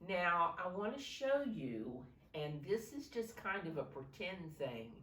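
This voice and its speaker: Slow, low-pitched woman reading to preschoolers. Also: big pitch swings, fairly bright tone. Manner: simple, instructive, often questioning the listeners. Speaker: preschool teacher reading aloud. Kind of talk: storytime reading and simple explaining for preschoolers. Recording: roomy, distant mic, faint noise.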